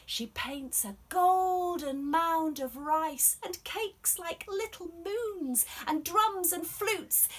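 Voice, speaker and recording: A woman reading a children's storybook expressively as a storyteller, dramatic and varied, with hushed passages. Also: sits high.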